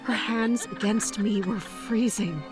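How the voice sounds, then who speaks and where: A woman's voice: on the high side, takes it slowly, over music. A woman playing a guarded, resolute captain in an audio drama, terse, grave and introspective as she narrates and speaks. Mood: pained, tense. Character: guarded female royal guard captain, self-blaming, resolute. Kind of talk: first-person dramatic narration and acted dialogue.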